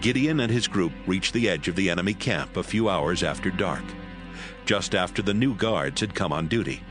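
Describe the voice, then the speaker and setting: A male narrator with a fairly low voice reads a scripted, dramatized scripture story. Music plays clearly behind him throughout. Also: animated, normal speed.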